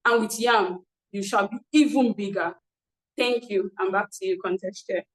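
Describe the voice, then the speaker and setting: A woman's voice: medium pitch, moderate pace. A woman giving an emphatic, theatrical storytelling speech. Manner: emphatic, declamatory. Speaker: speech contestant. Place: online meeting, echoey room. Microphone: video call. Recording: roomy echo.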